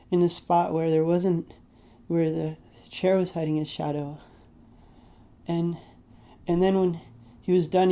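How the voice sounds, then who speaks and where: An actor playing a shy, wistful, childlike man telling a memory in a soft, halting, intimate way. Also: on the high side, close to an everyday voice. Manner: halting, repetitive, childlike, tender. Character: shy, wistful, childlike male dreamer recalling boyhood wonder. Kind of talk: intimate storytelling monologue.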